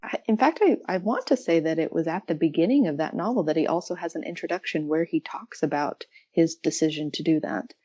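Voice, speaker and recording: Giggly, reflective woman with a lowish voice. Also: fairly quick, hardly any pauses, dark tone, breathy edge, no room sound. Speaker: podcast guest. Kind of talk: casual literary discussion.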